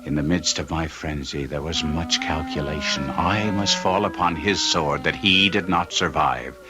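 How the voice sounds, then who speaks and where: An actor playing a tormented young gentleman in an old-time radio drama, with intense, theatrical and formal archaic delivery. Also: very deep voice, moderate pace, over music. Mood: frenzied, grim. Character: Guido, tormented young gentleman recounting a duel with a monster.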